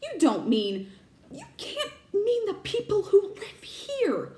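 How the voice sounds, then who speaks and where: A woman's voice: very high voice, wildly swooping pitch, takes it slowly, warm tone, pitched above the performer's own voice, exaggerated voice. A woman voicing a stern, anxious older witch professor from a storybook, exasperated and emphatic, faltering when upset, in an echoey room. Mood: distressed, indignant.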